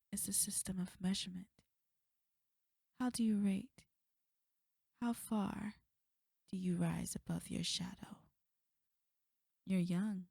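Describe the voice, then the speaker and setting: A woman playing a sly, teasing succubus in an intimate ASMR roleplay, breathy and often slipping into whispers. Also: mid-range voice, warm tone, no room sound, clean studio sound, heavily acted. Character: sly, seductive female succubus, taunting and teasing. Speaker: audio roleplay performer.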